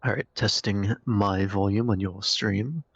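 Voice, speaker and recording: Soft-spoken man with a whispery, gravelly voice. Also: on the low side, fairly even intonation, a little slow, no echo, muffled recording. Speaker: streamer's co-host friend on voice chat. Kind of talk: casual chat.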